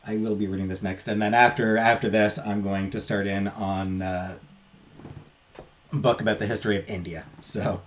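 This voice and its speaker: A man talking casually and unscripted as a book reviewer, in a halting, hesitant way with trailing asides. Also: lowish voice, steady tone.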